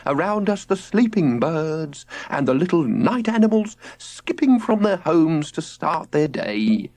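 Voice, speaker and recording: A man voicing a middle-aged father in an audiobook, pompous and theatrical, declaiming rapturously in drawn-out phrases. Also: on the high side, big pitch swings, a little mellow, no room sound, pitched above the performer's own voice. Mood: appalled, grandiose. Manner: declamatory, drawn-out, breathy exclamations.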